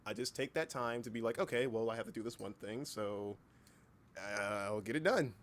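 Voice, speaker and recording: A man's voice: on the low side, normal speed, slight background noise. A man hosting a casual livestream chat, speaking informally, with repeated words and occasional swearing. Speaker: livestream host and hobby voice actor.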